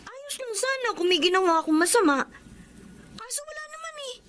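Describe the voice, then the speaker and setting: A woman's voice: extremely high pitch, sing-song, fairly quick, a bit bright, full character voice. A woman playing a hurt, sulky young schoolgirl in an emotional radio drama. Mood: hurt, puzzled. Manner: plaintive, emotional.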